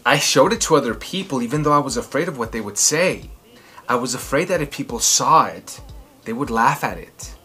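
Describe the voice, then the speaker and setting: A man reads a picture book aloud for children with big, emphatic expression. His pitch moves in lively sweeps and his tone is bright and forward. Soft music plays behind him. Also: brisk, slightly rough. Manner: theatrical, emphatic delivery. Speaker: children's storytime host. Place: small furnished room at home, indoors.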